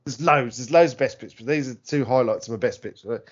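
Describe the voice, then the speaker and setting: A man's voice: medium pitch, fairly quick, husky, muffled recording. A British man co-hosting a podcast, bantering casually about films in a sweary, rambling way and slipping into mocking impressions. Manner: sweary, rambling, mimics film characters mockingly. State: tipsy. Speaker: podcast co-host.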